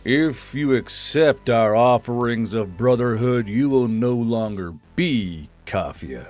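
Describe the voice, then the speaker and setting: A man playing a solemn, resolute drill sergeant in a science fiction audio story, with a measured, ceremonial delivery. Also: mid-range voice, lively intonation, a little mellow, soft background music. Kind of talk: dramatic audio fiction acting.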